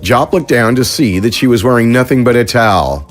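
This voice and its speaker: A man narrating a science fiction story in a measured, even storytelling manner. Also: mid-range voice, soft background music. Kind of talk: scripted audiobook narration.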